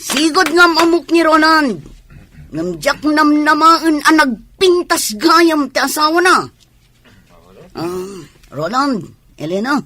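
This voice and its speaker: An actress plays an outspoken woman in a comedy radio drama, pitched on the high side. She speaks emphatically, with a teasing, scheming edge. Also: fairly bright tone.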